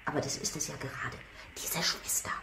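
A woman's voice: a little fast, in a whisper, natural voice. An actress playing an anxious, frightened young servant woman in a radio play. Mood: fearful. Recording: roomy sound with background noise.

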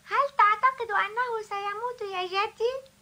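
A woman's voice: very high-pitched, moderate pace, pushed and bright. A woman dubbing an earnest, stubborn little mountain girl in a cartoon, pleading and insistent. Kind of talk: Arabic cartoon dub.

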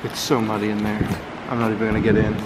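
A man narrating his own exploration of abandoned buildings in a dry, wry, deadpan way, filmed on a handheld camera. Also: lowish voice, quick pace, heavy background noise. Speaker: urban explorer vlogger. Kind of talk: casual solo exploring commentary. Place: abandoned buildings and overgrown fields, indoors and outdoors.

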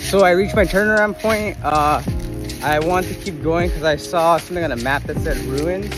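A man's voice: fairly high pitch, moderate pace. Young man vlogging casually, music behind. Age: young adult. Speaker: travel vlogger. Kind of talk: casual vlog narration. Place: outdoors, on trails and streets. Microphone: handheld phone at arm's length.

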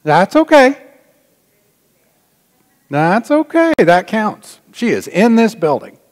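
Slow, lively-pitched pastor in an echoing church. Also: male voice. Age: middle-aged. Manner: folksy, dryly joking, with long pauses. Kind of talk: informal address to a congregation. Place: large church sanctuary, indoors. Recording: reverberant church hall.

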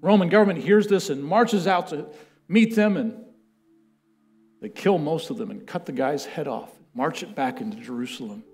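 A man in his thirties preaching from a church stage, telling history with lively, building emphasis. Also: fairly high pitch, normal speed, husky, faint music behind. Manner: animated storytelling, building to loud chanted quotes. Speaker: pastor. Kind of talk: sermon. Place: church stage with a lectern, large indoor room.

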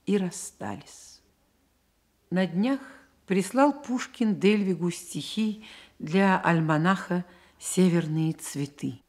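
A woman narrating a literary Soviet radio play in a measured, expressive, reflective way. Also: fairly low pitch. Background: music.

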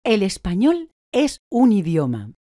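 Slow, mellow woman answering drills. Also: mid-range voice, wildly swooping pitch, no room sound, studio quality. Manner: slow, deliberate, clearly articulated answers. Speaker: language course speaker.

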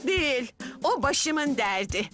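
A grumpy, exasperated cartoon grandmother with a fairly high, very lively voice. She complains her way through a dubbed children's show, with faint music behind her. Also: slow pace, full character voice. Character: grumpy grandmother fed up with the dog. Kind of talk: animated children's cartoon dub.

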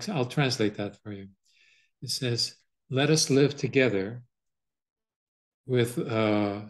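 An older man from Canada whose first language is Yiddish, speaking slowly and thoughtfully on a video call with frequent hesitations. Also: medium pitch. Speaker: interview guest. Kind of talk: measured conversation. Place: bright room with windows, indoors. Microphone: video call webcam.

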